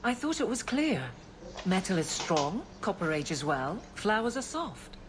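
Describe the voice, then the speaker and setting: A woman playing a stern, awkward captain of the city guard in a fantasy game, brisk, clipped and authoritative. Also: mid-range voice, big pitch swings. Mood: brisk, flustered. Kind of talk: fantasy video game acting. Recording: game audio under clear noise.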